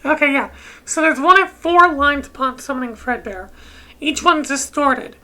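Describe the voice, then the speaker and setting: A woman giving casual, halting gameplay commentary as a video host, often interrupting herself mid-thought. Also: sits high, sharp tone. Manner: halting, rambling, self-interrupting.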